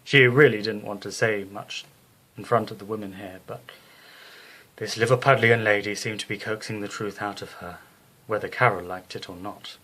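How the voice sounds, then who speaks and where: A man narrating a scripted bedtime story as the storyteller, in a measured, descriptive way. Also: on the low side, steady tone, bright, forceful tone, low-quality recording.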